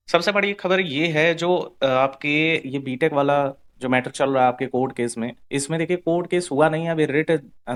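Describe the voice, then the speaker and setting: A man's voice: medium pitch, fairly bright tone. A man presenting an informal explanatory update for exam candidates, repeating himself and mixing Hindi with English terms.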